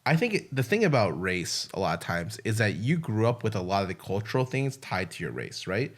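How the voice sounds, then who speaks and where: A man's voice: on the low side, big pitch swings, fairly quick. A man co-hosting a podcast, chatting casually and frankly and swearing freely. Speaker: podcast co-host.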